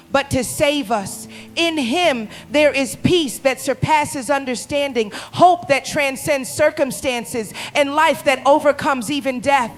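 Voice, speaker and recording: Casting: a performer as an earnest, consoling pastor with a high-set voice, preaching solemnly to survivors in an audio drama. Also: fairly bright tone. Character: solemn pastor comforting a sheltering congregation amid apocalypse. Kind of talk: scripted sermon in an audio drama.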